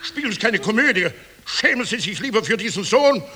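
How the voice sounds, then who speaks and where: An actor playing a pompous, sneering Austrian militia captain, barking orders and talking down in a radio play. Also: male voice, sits high, big pitch swings, a little fast, pushed and bright, exaggerated voice. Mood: menacing. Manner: barking orders, condescending, sarcastic. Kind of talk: 1960s radio play acting. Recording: noisy, clicking old tape.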